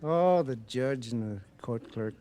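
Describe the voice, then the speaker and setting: A man's voice: mid-range voice, lively intonation, measured pace, mellow. A man in his fifties, a quiz contestant, giving short, plain, slightly muddled answers in unscripted conversation. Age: 50s. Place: radio studio with audience.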